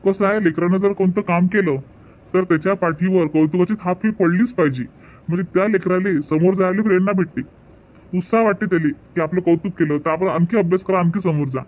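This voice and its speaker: A man acts out an earnest cartoon village headman with a rural Vidarbha Marathi accent. His voice sits on the high side, and he talks in a folksy, repetitive, speechifying way. The sound is dull and lo-fi. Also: fairly quick, lightly acted. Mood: proud, encouraging. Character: earnest, community-minded male village headman. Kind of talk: hobby cartoon voice-over, village dialogue.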